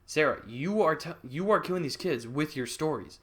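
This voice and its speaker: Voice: medium pitch, animated, a bit bright, pitched above the performer's own voice, close to an everyday voice. Storyteller doing a stern doctor guy, accusing, insistent, leans hard on the words. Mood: stern insistence. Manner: insistent, slow emphatic accusations. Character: stern accusing male doctor, interrogating his sister.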